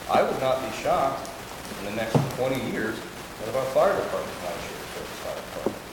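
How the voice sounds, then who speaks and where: Fast, blunt council member in an echoey hall. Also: male voice, medium pitch, lively intonation. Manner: blunt, fast, argues with budget figures. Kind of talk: council meeting debate. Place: large wood-paneled meeting hall. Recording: echoey hall, loud hiss.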